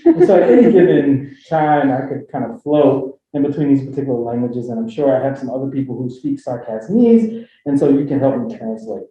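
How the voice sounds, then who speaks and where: A man from Philadelphia with a Philly accent, giving a joking, filler-heavy talk on a university panel with a handheld mic. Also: medium pitch. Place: university forum room. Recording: echoey lecture room, dull sound.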